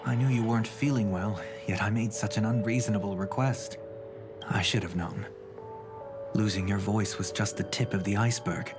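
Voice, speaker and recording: A man voicing a composed, protective older brother in a video game, speaking in a measured, formal, serious manner. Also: lowish voice, fairly even intonation, close to an everyday voice. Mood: apologetic concern. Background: game music under the voice.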